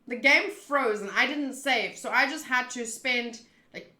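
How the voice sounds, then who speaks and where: Bright, high-pitched chatty woman streamer. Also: unhurried, echoey room. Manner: chatty, theatrical, swerving into asides. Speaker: streamer playing a visual novel. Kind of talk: casual live playthrough commentary, reading aloud.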